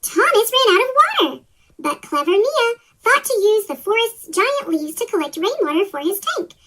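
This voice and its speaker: A woman reading a children's story aloud as scripted narration, her voice sped up with a chipmunk pitch-shift effect. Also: big pitch swings, moderate pace, bright, forceful tone.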